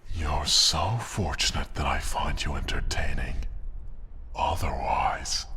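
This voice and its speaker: Casting: arrogant dragon for fantasy audio roleplay; rock-bottom gravelly bass, formal threatening delivery, some background noise. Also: male voice, bright, forceful tone, exaggerated voice. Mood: dryly amused. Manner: haughty, formal, deliberate, threatening. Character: arrogant, menacing, possessive male dragon, last of his kind. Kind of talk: ASMR fantasy roleplay, slow theatrical monologue.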